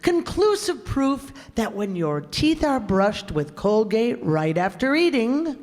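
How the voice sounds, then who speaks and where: A woman's voice: fairly high pitch, sing-song, takes it slowly, darkish tone. A woman reading a vintage radio commercial as an announcer, with an emphatic, declarative sales delivery. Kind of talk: 1940s radio advertisement reading. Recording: very echoey hall stage recording.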